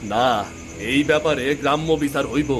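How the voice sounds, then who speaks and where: A man's voice: mid-range voice, moderate pace, noisy background, close to an everyday voice. A man playing an indignant, hardworking village farmer in a cartoon moral story, accusing and emphatic, with a rural Bangladeshi Bengali dialect.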